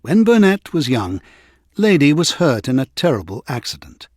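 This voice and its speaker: A man narrating a children's read-along story in an expressive, scripted storytelling manner. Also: a little high, normal speed, dry recording, clean studio sound.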